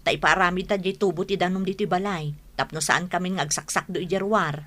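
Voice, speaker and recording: A woman's voice: on the low side, fairly even intonation, fast, a bit bright. A woman playing a house-proud, evasive wife and mother in a radio drama, with a coaxing manner and an Ilocano accent.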